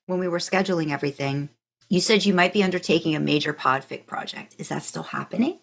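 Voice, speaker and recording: A woman's voice: sits low, fast talker, vocal fry. A woman hosting a podcast, asking questions in a casual, informal and encouraging way. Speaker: podcast host interviewing a guest. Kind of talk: casual conversational interview.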